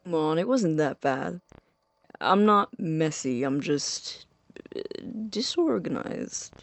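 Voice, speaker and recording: An actor plays a self-conscious young student in an audio drama. The speech is slow and hesitant, with natural halting phrasing. The sound is close and dry. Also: mid-range voice, lively intonation, vocal fry, dry recording. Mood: sheepish, dry. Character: awkward, hesitant young man leaving for college.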